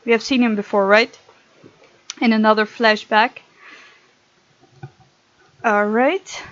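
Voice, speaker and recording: A woman's voice: fairly high pitch, fairly even intonation, slow, fairly bright tone, lo-fi sound. A woman hosting a gaming video, reading visual novel text aloud with chatty, giggly commentary.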